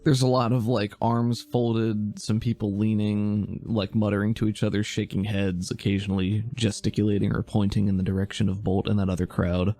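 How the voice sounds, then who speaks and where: A man's voice: fairly low pitch, flat delivery, normal speed, few pauses, dark tone. Laid-back man running a tabletop game. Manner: laid-back, filler-heavy narration. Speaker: game master.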